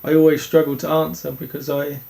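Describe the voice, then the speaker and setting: A young man from Denmark, a speedway rider answering interview questions in an earnest, halting way with many restarts. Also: on the high side, completely flat delivery, creaky, darkish tone.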